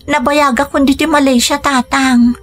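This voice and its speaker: An actress playing a dutiful, weary young Filipina daughter with an Ilocano accent, earnest and plaintive in a radio drama. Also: female voice, high voice, level tone, moderate pace, fairly bright tone, soft background music. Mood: earnest, pleading. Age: young adult.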